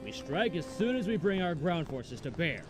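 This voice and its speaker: A man voicing an ambitious, persuasive pirate warlord in a comic dub, commanding and smooth-talking. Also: fairly high pitch, very animated, normal speed, over music, rough recording.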